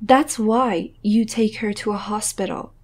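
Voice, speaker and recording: A woman's voice: mid-range voice, measured pace, darkish tone, husky. A young woman acting a worried, practical, easily exasperated character in a comic dub. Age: young adult.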